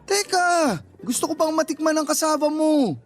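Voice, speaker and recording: A man's voice: very high-pitched, lively intonation, normal speed, no echo, soft background music. A man acting a teasing, naive customer and suitor in a Tagalog radio drama, joking and placating. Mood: placating, clueless.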